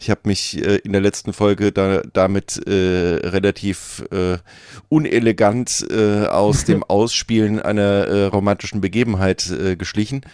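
A man co-hosting a podcast, talking casually with halting, deliberate phrasing. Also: on the low side, fairly even intonation, darkish tone, clean tone, dry recording, clean studio sound. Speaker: podcast co-host.

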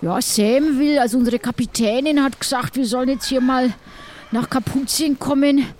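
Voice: high voice, fairly even intonation, moderate pace, a little mellow, slight background noise, natural voice. A performer playing a helpful, chatty ship's medic in improvised audio role-play, rambling colloquially. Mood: casual, helpful.

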